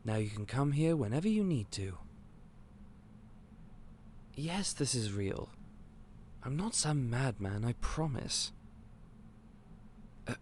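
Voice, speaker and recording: Male actor as a gentle, eccentric magical shopkeeper; slow delivery, animated intonation. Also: medium pitch, slight background noise. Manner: gentle, polite, excitable and a little scatterbrained. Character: eccentric otherworldly male candy store owner. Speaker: audio roleplay performer. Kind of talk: scripted audio roleplay to the listener.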